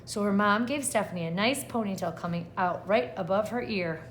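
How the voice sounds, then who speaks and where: A woman's voice: medium pitch, fairly quick, slight background noise. A woman kindergarten teacher reading a picture book aloud expressively and putting questions to the children. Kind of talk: read-aloud lesson for young children.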